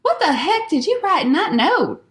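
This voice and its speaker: A woman's voice: very high voice, sing-song, normal speed. A woman playing a blunt, indignant schoolgirl sidekick in a comedy radio play, protesting in a matter-of-fact way.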